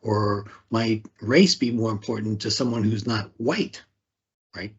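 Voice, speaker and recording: A man's voice: on the low side, measured pace, slightly rough, muffled recording. A man leading a talk on privacy, posing rhetorical questions and often tagging them with "right?". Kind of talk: conversational presentation.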